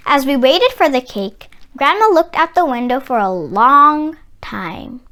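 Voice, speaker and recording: A woman's voice: high-pitched, lively intonation, sharp tone. A woman narrating a children's storybook expressively, with dramatic emphasis. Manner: dramatic, drawn-out emphasis on sound words. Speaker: children's read-aloud narrator.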